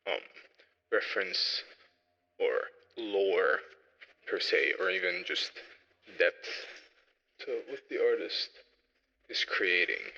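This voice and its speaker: A young man presenting an informal solo audio log, speaking haltingly and reflectively as he thinks aloud, through a radio-style effect. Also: deep voice, animated, sharp tone, big-room sound, highs cut off. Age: young adult.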